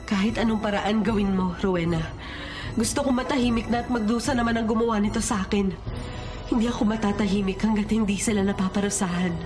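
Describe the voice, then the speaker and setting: A woman's voice: medium pitch, fairly even intonation, fast talker, over music, lightly acted. Actress as a wronged, pleading young woman. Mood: grave, resolute. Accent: Filipino. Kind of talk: Filipino radio drama acting.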